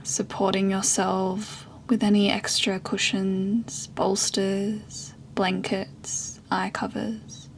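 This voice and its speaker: Woman, guided meditation, slow pace, gentle breathy delivery, level intonation, soothing. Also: mid-range voice, flat delivery, a little hiss or hum. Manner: soft, breathy, soothing delivery with long pauses. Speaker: yoga and meditation teacher.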